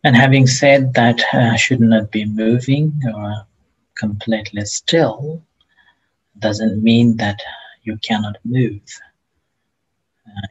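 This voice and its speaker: A man leading a guided meditation as a teacher, speaking gently and haltingly with long pauses between phrases, in a husky, breathy voice. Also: on the low side, dull audio.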